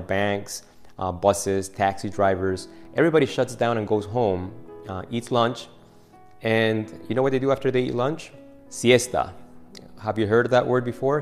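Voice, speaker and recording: Preacher from Argentina, low voice, storytelling sermon over faint guitar. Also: male voice. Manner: conversational storyteller, asks listeners questions. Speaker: pastor. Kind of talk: Easter sermon retelling a story.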